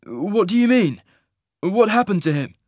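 A man's voice: high voice, moderate pace, fairly bright tone, dry recording, studio quality, voice pushed up. A man voicing an earnest, proud male traveller with a formal, insistent way of speaking. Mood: concerned.

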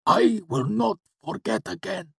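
A man voicing a cruel, arrogant henchman in a fantasy audiobook, cowed into servility, with a strained, breathy delivery. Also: fairly low pitch, expressive, slow, no echo, studio quality, voice pushed up, heavily acted. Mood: gasping, earnest. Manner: snappish turning to choked, submissive pleading.

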